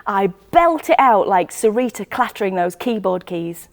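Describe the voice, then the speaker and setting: A woman acting a first-person monologue as a lonely, wistful choir member, intimate and expressive in short clipped phrases. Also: medium pitch, moderate pace. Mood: giddy, defiant.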